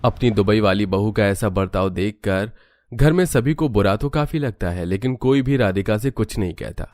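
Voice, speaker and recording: A man's voice: fairly low pitch, expressive, moderate pace, darkish tone, no room sound, clean studio sound. A man narrating a cartoon family story in a scripted storyteller style.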